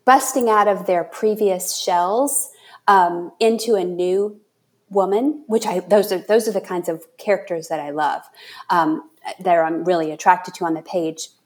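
A woman's voice: lowish voice, steady tone, a little slow, a little mellow. A woman hosting a podcast in a casual, effusive way, feeling around for the right words and correcting herself as she goes. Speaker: podcast host. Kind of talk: casual interview chat.

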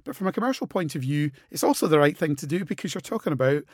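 A man hosting an interview, measured and conversational, with many you-knows and agreeing replies. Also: a little high, expressive, darkish tone, dry recording, studio quality. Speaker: host.

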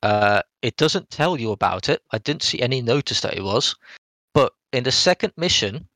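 A man talks casually as a podcast co-host going over a game. His delivery is halting and hedging as he rephrases his way along, at a middling pitch in a dry, close-sounding recording. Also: lively intonation, sharp tone, no room sound. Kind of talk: casual rambling game review talk.

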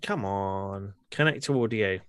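A man hosting a chatty podcast, relaxed and full of uhs while he runs the call-ins. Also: lowish voice, unhurried, clear, resonant tone, dry recording, studio quality. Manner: relaxed, says uh and um a lot while running the stream. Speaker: podcast host taking calls. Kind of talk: casual chat.

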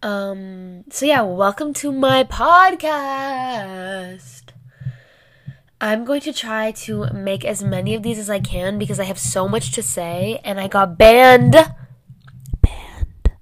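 A woman's voice: medium pitch, slow pace. An eighteen-year-old woman hosting her own podcast, talking in a casual, blunt way with a congested, nasal voice and a lot of swearing. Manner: chatty, blunt, swears freely. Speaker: podcast host. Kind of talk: casual solo podcast chat. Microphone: laptop camera with a separate mic.

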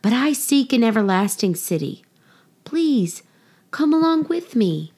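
A woman's voice: a little high, very animated, a little slow, darkish tone, heavily acted. A woman voicing an earnest, eager young boy pilgrim in a children's storybook reading. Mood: earnest, pleading.

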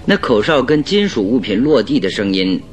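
An actor in a radio drama playing a probing, methodical male detective with a deliberate, inquisitive delivery. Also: medium pitch, sing-song, lightly acted. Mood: probing, thoughtful. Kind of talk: old Chinese radio drama acting.

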